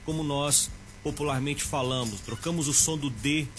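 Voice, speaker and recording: A man with a Minas Gerais accent giving a Portuguese tip on the radio, slowing down to stress each example. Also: on the high side, fairly bright tone, soft background music. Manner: didactic, slows down to stress examples. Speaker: Portuguese teacher.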